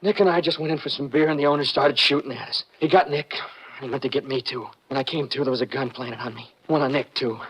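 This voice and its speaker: An actor playing a frightened, exhausted escaped convict in a 1950s radio drama, halting and pleading in a husky voice. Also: male voice, medium pitch, fairly even intonation, natural voice, low-quality recording. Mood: weary, defensive.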